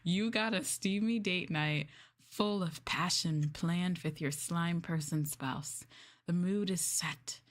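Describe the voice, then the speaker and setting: Low-pitched woman chatting on a livestream. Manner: expressive, giggly, reacts with drawn-out exclamations. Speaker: livestream host reading scripts to chat. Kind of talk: casual livestream chat.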